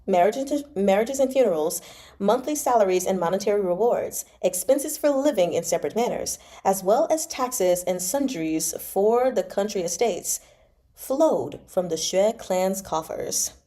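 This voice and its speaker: A woman hosting a read-along, reading a novel aloud and reacting in an informal, expressive, slangy way. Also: mid-range voice, measured pace. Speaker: host of a live book read-along.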